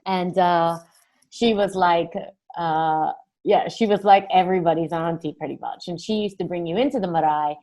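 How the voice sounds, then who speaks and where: A woman's voice: fairly low pitch, darkish tone. A woman in her thirties from New Zealand and Australia, talking as an interview guest in a rambling way, with many fillers and restarts. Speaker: guest, singer-songwriter. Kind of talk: casual interview conversation.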